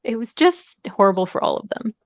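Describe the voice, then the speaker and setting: A woman's voice: on the high side, sing-song, a little fast, no echo. American woman chatting, slightly dull dry audio. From United States West Coast. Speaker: book discussion guest. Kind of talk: casual book discussion.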